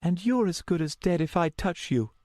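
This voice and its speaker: A man's voice: sits high, animated, moderate pace, darkish tone, no room sound, clean studio sound, pitched above the performer's own voice. A man voicing a frightened, defiant young woman in an audiobook story, strained, emotional and insistent.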